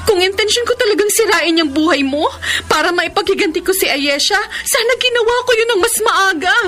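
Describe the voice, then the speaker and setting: A woman's voice: extremely high pitch, animated, brisk, fairly bright tone, soft background music. An actress playing a remorseful young woman pleading to explain herself in a radio drama. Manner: pleading, emotional. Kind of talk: broadcast radio drama acting.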